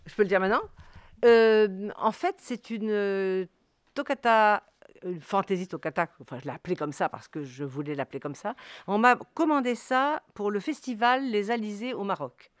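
A woman's voice: mid-range voice, normal speed, dry recording, clean studio sound. A woman from Morocco, a composer and pianist, talking spontaneously and emphatically in an interview, repeating words for effect.

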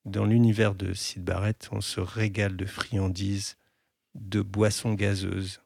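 A man hosting a music radio show in French, chatting casually with a co-host and pausing often with fillers. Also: lowish voice, gravelly, dry recording, clean studio sound. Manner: relaxed, hesitant, many pauses and fillers.

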